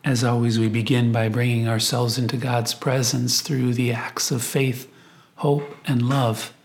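A man with a mid-pitched voice leads a guided meditation as a priest would. He speaks in a reflective, prayerful way, taking his time through prayer and scripture. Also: little pitch movement, unhurried, vocal fry.

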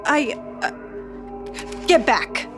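A woman's voice: high-pitched, very animated, very slow, full character voice. A woman playing a nervous but loyal young monster hunter in a radio drama, sounding anxious and hesitant. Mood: frightened, defiant. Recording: loud music over voice.

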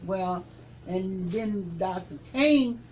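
A man in his seventies, a reverend, recalling the past in an interview with a preaching cadence that rises to forceful emphasis. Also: high voice, drawn-out words, muffled recording, slight background noise. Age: 70s. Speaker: reverend, civil rights veteran. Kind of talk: interview reminiscence.

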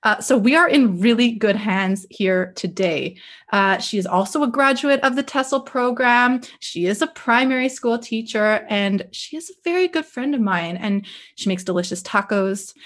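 A young woman from with a fairly high voice hosts a relaxed interview podcast. She talks with eager enthusiasm, often stumbling and restarting her phrases. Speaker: podcast host. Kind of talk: casual interview chat.

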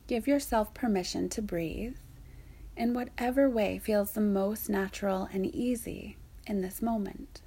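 A woman softly guiding a yoga nidra meditation in a soothing, breathy way, leaving long pauses. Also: mid-range voice. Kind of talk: guided meditation narration.

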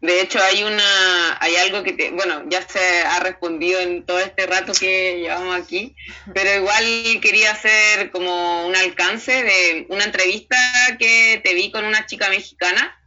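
Female interviewer: very bright, tense tone, hesitant conversational phrasing, slightly lo-fi audio. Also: mid-range voice, steady tone, normal speed.

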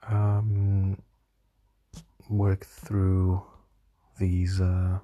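A tired young man with a slightly hoarse voice, rambling hesitantly through a casual diary vlog with many fillers and restarts. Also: low voice, monotone, very slow, warm tone. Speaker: vlogger.

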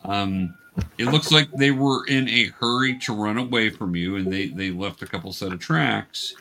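Bright-voiced man running a tabletop game. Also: fairly low pitch. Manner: halting, explanatory. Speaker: game master. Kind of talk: narrating a tabletop game to young players. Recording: clear background noise, clicks.

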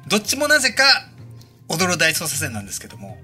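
Fast, bright-voiced joking man. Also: a little high, huge pitch swings, soft background music. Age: middle-aged. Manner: joking, self-deprecating, chatty. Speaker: podcast co-host and director. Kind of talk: casual chat.

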